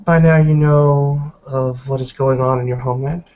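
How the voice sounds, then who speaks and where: A man voicing a stern, composed elderly matriarch in a tabletop roleplay, speaking in a calm, formal, measured way. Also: mid-range voice, lightly acted. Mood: cool interrogation. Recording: dull, noisy online call audio.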